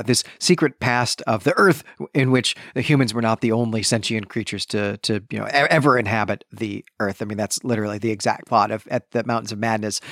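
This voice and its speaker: Male book podcast host, mid-pitched voice, conversational and enthusiastic, self-correcting as he goes, close dry recording. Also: no echo. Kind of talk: book discussion monologue.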